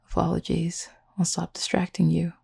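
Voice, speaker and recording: A woman playing a gentle, composed horned cupid roommate in an intimate roleplay audio, soft-spoken, measured and formally worded, tenderly teasing and reassuring. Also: on the low side, full character voice. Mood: tender, softly teasing. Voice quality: soft, breathy, husky.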